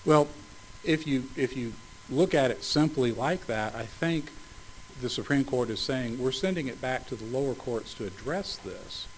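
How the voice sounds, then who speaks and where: A man arguing as attorney for a city in an appellate court, speaking in measured, formal legal phrasing, slightly hoarse. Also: medium pitch, animated, a little hiss or hum, rough recording. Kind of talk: appellate oral argument.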